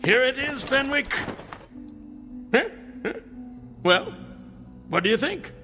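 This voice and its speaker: An actor playing a proud, excitable inventor professor with grandiose, deliberate declamation in an old-time radio comedy drama. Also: male voice, extremely high pitch, heavily acted. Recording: reverberant radio drama with music behind.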